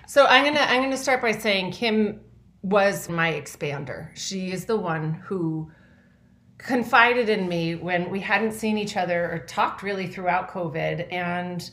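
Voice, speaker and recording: A woman's voice: fairly low pitch, measured pace, fairly bright tone. A chatty woman, telling stories as a podcast co-host. Her voice sounds roomy, with echo around it. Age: 40s. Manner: chatty, storytelling. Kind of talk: casual chat. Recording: echoey room.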